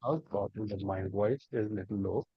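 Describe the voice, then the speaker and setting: A man's voice: on the low side, moderate pace, husky, no room sound. A man, teaching a live online class on cloud computing and explaining step by step with many repeats and rhetorical questions. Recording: dull lo-fi dry online class audio.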